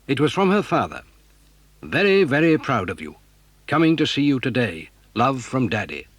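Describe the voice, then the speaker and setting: A man with a slightly husky voice, narrating a scripted children's story. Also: mid-range voice, no echo.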